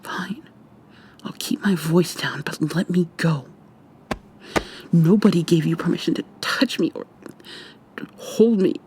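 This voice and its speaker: A woman playing a defiant, frightened young human captive in an intimate, hushed, breathy ASMR roleplay, tense and sweary. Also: lowish voice, measured pace, pauses often, slight background noise, close to an everyday voice. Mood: angry, distrustful. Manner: tense, profane, confrontational.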